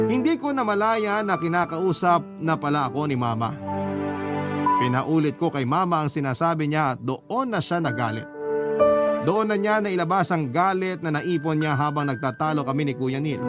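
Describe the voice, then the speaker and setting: A Filipino man with a slightly high voice narrates a heartfelt letter in the first person at a measured, steady pace. Music plays clearly beneath his reading. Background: clear music underneath.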